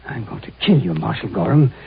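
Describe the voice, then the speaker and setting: An actor playing an earnest, idealistic professor driven to desperation in a 1950s radio drama, arguing intensely. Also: male voice, on the low side, big pitch swings, brisk, creaky, breathy edge, exaggerated voice. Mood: desperate, threatening. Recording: muffled old radio recording.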